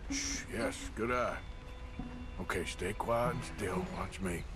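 An actor playing a rugged, patient Wild West outlaw in a video game, with an American accent and a plain, understated, folksy drawl. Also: male voice, lowish voice, slow pace, loud music behind the voice. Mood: hushed, focused. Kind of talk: naturalistic video game acting.

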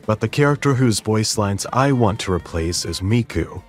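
A man narrating a step-by-step software tutorial as its host. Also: on the low side, expressive, normal speed. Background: background music.

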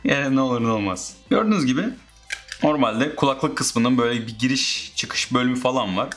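Male DIY host, medium pitch, informal how-to narration over music. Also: a little fast, fairly bright tone. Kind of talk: casual step-by-step how-to narration.

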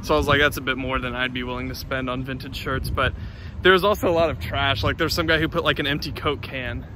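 A young man from Kansas vlogs into his phone at arm's length. His voice is bright and forward, with a mid pitch, and he rambles loosely through fillers and restarts. Faint street and car ambience sits behind him. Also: steady tone, fast, a little hiss or hum. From Kansas, USA. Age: young adult. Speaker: vlogger. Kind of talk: casual vlog chat. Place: street outdoors, then inside a parked car. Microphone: phone held at arm's length.

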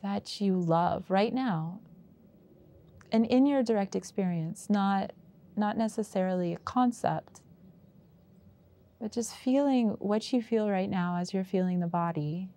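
A woman guiding a meditation as its teacher, with unhurried, gentle prompts and long pauses. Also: medium pitch. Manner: slow, pausing, unhurried delivery with gentle prompts. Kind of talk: guided meditation.

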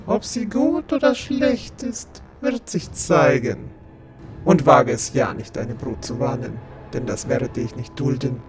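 A man playing a menacing, imperious godlike being with a commanding, contemptuous manner in a fantasy audio drama. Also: medium pitch.

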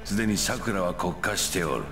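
A man's voice: low-pitched, animated, quick pace. An actor playing a menacing, gloating old man in a Japanese visual novel. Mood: gloating urgency. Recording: echoing, distant game audio over loud music.

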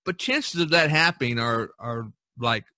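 A mature male podcast host with a bright voice and a dry, close sound. He talks at length in a casual, rambling way, leaning on repeated phrases to drive things home. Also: medium pitch, lively intonation, moderate pace, dry recording. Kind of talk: casual podcast talk, long monologue.

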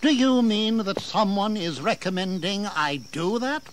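A proud colonial governor with a high-pitched voice. He is sardonic and declamatory, in historical radio drama style, over faint noise. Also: expressive, unhurried. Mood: sardonic indignation. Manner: declamatory, sarcastic, aristocratic. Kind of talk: historical audio drama acting. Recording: faintly noisy 1980s drama recording.

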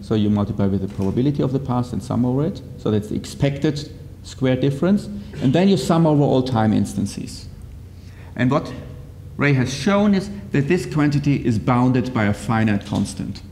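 A middle-aged man giving an explanatory technical lecture, semi-improvised, checking in with short tags like okay and yeah. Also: warm tone. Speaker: academic lecturer presenting a paper. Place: indoor lecture room with projector screen. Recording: reverberant lecture hall, rumbling background noise.

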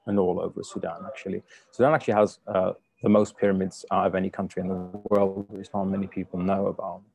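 Low gravelly man presenting slides. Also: darkish tone, no echo. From United Kingdom. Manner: polite, with many fillers and restarts. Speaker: cook giving a presentation. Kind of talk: webinar slide talk. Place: home room on a video call. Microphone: video call.

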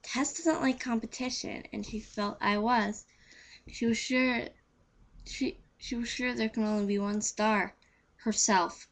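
A woman's voice: on the high side, steady tone, measured pace. Blunt teen female friend amateur girl reading, frank, disapproving, earnest.

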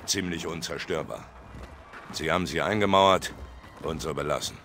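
An actor playing an older, gruff, dry-witted veteran soldier and father in a dubbed video game, terse and commanding. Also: a bass voice, normal speed, bright, forceful tone, a little hiss or hum, full character voice. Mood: dry, calm. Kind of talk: German video game dubbing.

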